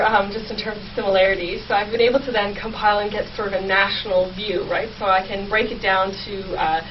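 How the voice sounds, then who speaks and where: Young woman interviewee, distant and noisy. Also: medium pitch. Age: young adult. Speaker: guest researcher, history PhD student. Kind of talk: conversational research interview. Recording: distant, dull, clearly noisy sound.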